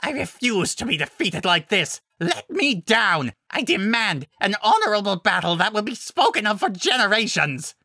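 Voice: mid-range voice, wildly swooping pitch, slow, creaky, sharp tone, no room sound, studio quality, voice pushed up, heavily acted. A narrator putting on a fierce, barking werewolf alpha. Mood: furious, yelling. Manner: barking, menacing, blustering. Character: fierce proud male werewolf pack alpha. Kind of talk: audiobook-style fantasy story character.

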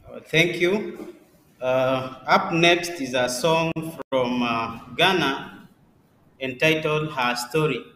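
A man with a Kenyan accent announcing acts as a host, in a halting way. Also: mid-range voice, sharp tone, slight background noise. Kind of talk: event announcing. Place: large classroom with iron roof. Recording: echoey classroom.

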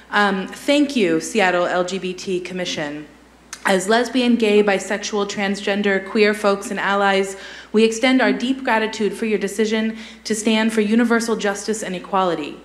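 Young woman reading in echoey chamber. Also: mid-range voice. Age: young adult. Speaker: public commenter at council meeting. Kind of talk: reading a letter aloud. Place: public meeting room. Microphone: podium microphone. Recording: echoey public meeting room.

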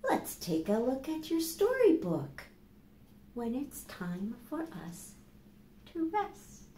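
A middle-aged woman hosting a children's storytime, speaking slowly and deliberately to young listeners as she reads and asks questions. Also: sits high, very animated, warm tone. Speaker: library storytime host. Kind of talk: children's storytime, reading picture books aloud. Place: small plain indoor room. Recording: echoey room with faint background noise.

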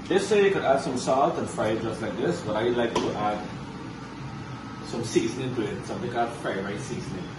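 A man in his forties with a Guyanese accent, chatting casually as he presents a home cooking vlog. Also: medium pitch. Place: home kitchen, indoors. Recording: noisy kitchen, loud appliance hum.

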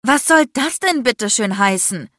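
Woman playing a high-pitched, defiant, upset young woman. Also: big pitch swings, normal speed, dry recording, clean studio sound, natural voice. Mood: indignant, defiant. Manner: heated, indignant, provoking. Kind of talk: dramatic audiobook character acting.

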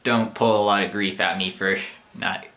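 A young man talking casually to the camera from a small bedroom, rambling and self-deprecating. His voice is on the lower side but bright and forward. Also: steady tone, moderate pace. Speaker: YouTuber. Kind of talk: casual vlog chat.

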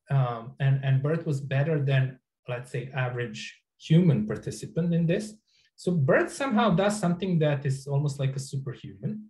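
A middle-aged man, an or Croatian speaker, giving an academic talk over a video call in a halting way with many restarts. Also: fairly high pitch, mellow, reverberant. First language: Serbian or Croatian. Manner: halting, frequent restarts and fillers. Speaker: researcher giving a lecture. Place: indoor office. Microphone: webcam on a video call.

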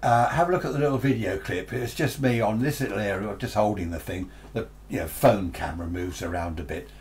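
A man's voice: on the low side, a little fast, a little mellow. An older British man giving a casual, rambling, unscripted demonstration, chatting with frequent tag questions. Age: 60s or older. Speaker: presenter reviewing radio gear. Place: small cluttered indoor radio workshop.

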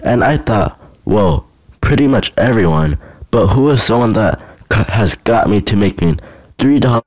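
A teenage boy vlogging a roast in a flat, monotone, deadpan voice with dry sarcasm. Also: fairly low pitch, moderate pace, rough recording. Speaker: YouTuber. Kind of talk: casual roast vlog narration.

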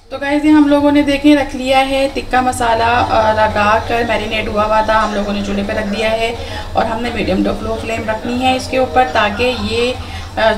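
A woman chatting casually to her viewers while cooking at home, sprinkling in English words. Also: a little high. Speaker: home cook showing a recipe. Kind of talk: casual cooking vlog narration. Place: home kitchen. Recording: echoey room with clear household noise.

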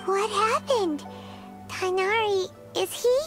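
A woman voicing a tiny, childlike, squeaky fairy companion in a video game. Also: slow pace. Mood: alarmed. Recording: loud music behind voice.

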